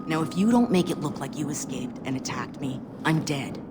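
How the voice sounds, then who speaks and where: A low, steely American female agent in a game scene, urgent and insistent. Loud background noise runs under the voice. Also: expressive, moderate pace. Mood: insistent, goading. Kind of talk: video game voice acting.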